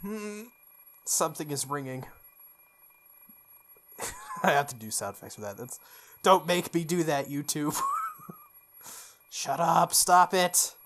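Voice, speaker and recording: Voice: medium pitch, expressive, slow, pauses often, a bit bright. A game playthrough host expressively reading story text aloud, stumbling and correcting along the way, with off-the-cuff asides.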